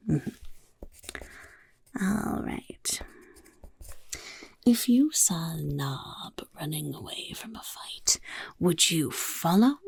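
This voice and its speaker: Woman doing a sly goblin overlord, whispered, gravelly, slow, swooping pitch; soft-spoken ASMR roleplay. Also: no room sound, full character voice. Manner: whispery, speaks of self in third person. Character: goblin overlord of a chaos horde, sly and self-important. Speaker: interviewer.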